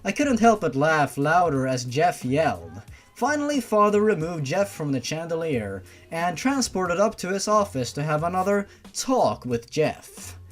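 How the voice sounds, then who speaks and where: A narrator reading a first-person fan fiction story in an expressive, informal way. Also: medium pitch, measured pace. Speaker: story reader. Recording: music behind the voice. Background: music.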